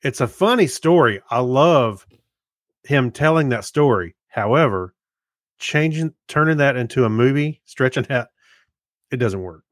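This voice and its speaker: A man's voice: mid-range voice, expressive, a little slow, dry recording, studio quality. A man co-hosting a podcast in casual, conversational chat about movies. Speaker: podcast co-host.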